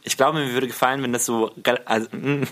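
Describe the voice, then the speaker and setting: A man's voice: mid-range voice, steady tone, fast, fairly bright tone, slight background noise. Guy on a podcast, middle voice, cheeky casual banter, drops english words. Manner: cheeky, drops English phrases into his talk. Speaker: podcast guest. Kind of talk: casual, joking chat.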